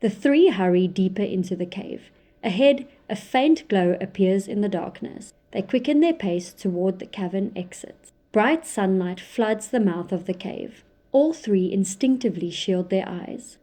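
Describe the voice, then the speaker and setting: A woman narrating a scripted audio drama, measured and descriptive. Her voice sits mid-range with a mellow, darker tone. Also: mid-range voice, expressive.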